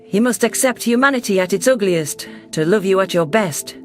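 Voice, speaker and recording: Sci-fi story narrator: man playing a composed, caring alien woman in charge, high and gentle voice, light music bed. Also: lively intonation, moderate pace. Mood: reassuring, firm. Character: caring, composed female alien leader with paws. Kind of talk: first-person sci-fi story narration and dialogue.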